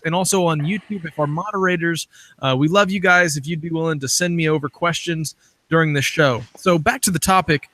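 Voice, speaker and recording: Higher-voiced man hosting a live stream. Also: fairly bright tone. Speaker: show host, interviewer.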